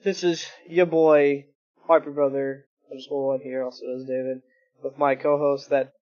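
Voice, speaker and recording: A young man hosting a casual gaming stream, joking and self-mocking, with a reasonably high-pitched voice, talking into a home desktop mic. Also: little pitch movement, normal speed, muffled recording. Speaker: stream host. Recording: background music.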